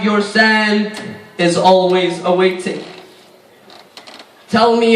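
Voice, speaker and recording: Male reciter, very high register, mournful devotional chant, drawn-out lines, echoing space. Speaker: religious reciter. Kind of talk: melodic devotional lament recitation of poetry. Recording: echoing, distant-miked recitation.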